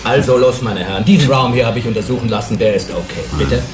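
A man playing a brisk, commanding business boss who takes charge of a meeting in a radio drama. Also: medium pitch, lightly acted. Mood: urgent, confidential. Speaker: company boss chairing a meeting. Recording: roomy studio sound with music behind.

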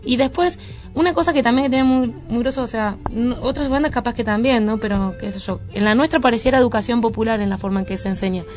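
Argentine female interviewee, Buenos Aires area, higher register, loose colloquial delivery with rambling sentences, light music underneath. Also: quick pace, darkish tone. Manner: rambling, colloquial, peppered with tag words. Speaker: band member, preschool teacher. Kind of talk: casual radio interview chat. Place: radio studio.

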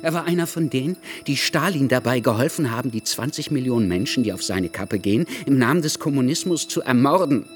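An actor playing a bitter, tormented Cuban writer who confides in a friend in a radio drama, emphatic and prone to swearing. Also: male voice, medium pitch, animated, brisk, few pauses, faint music behind, lightly acted. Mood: bitter, agitated.

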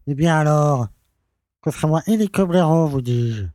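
Village mayor, male actor, audio drama: imperious, order-barking, yet soft-toned and higher than average. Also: lively intonation, unhurried, dry recording, clean studio sound. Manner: peremptory, repeats his orders. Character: bossy, self-important village mayor. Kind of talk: French audio drama acting.

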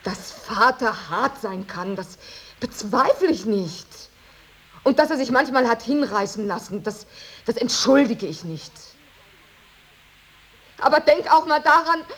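An actress playing an earnest, devoted unmarried daughter in a German radio drama, speaking in a measured, intense way with weary dignity. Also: female voice, high-pitched, lively intonation, fairly bright tone, exaggerated voice. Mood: impassioned. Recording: radio drama with clear tape hiss.

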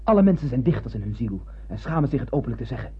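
Een acteur die in een hoorspel een ernstig, filosofisch bemanningslid speelt, met hese stem en declamerende toon. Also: male voice, medium pitch, big pitch swings, racing, husky. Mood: earnest. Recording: dull old radio-play recording.

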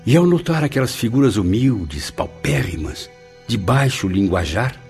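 A man's voice: fairly low pitch, expressive, measured pace, a little mellow, soft background music. An actor playing a repentant aristocrat's spirit, narrating his memories in a solemn, theatrical radio drama style. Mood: solemn, reflective.

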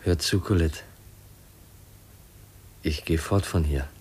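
An actor in a 1950s German radio drama playing an earnest, brooding young man with a husky voice and a melancholy manner. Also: very deep voice, takes it slowly, darkish tone, exaggerated voice. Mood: heavy-hearted. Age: young adult. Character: brooding, earnest young heir from circus life.

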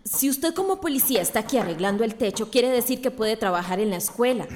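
An actress with a Central American accent playing an earnest, insistent village schoolteacher in a radio drama. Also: female voice, a little high, animated, quick pace, a little mellow, slight background noise, natural voice. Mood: insistent, worried.

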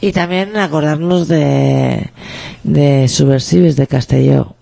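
A man's voice: a little high, fairly even intonation, takes it slowly, gravelly, dark tone, no echo. A middle-aged man co-hosting a community radio show, chatting in a reflective, rambling and self-mocking way. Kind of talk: casual radio chat. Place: radio studio.

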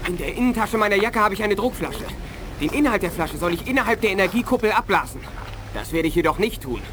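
An intelligence captain in an eighties space radio play. His slightly high voice is formal and earnest. A faint noise runs behind him. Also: animated, fast talker. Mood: tense, earnest. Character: earnest male intelligence captain, secretly sympathetic defector. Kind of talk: 1980s German science-fiction radio play acting.

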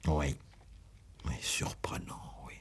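An actor playing a troubled investigating judge in a 1960s French radio play, musing and questioning hesitantly. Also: male voice, slow pace, in a whisper, close to an everyday voice. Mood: baffled. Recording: old radio drama recording.

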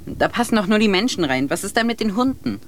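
Young radio drama crewman, earnest, troubled, lively intonation, raised pitch, questioning manner. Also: fast talker, a little hiss or hum. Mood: anxious, upset. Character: Peter, young male greenhorn crewman, earnest and anxious.

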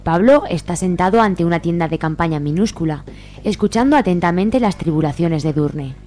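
A woman's voice: fairly low pitch, lively intonation, fairly quick, a little mellow. A woman from reading scripted narration for a radio serial. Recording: faint hum and noise.

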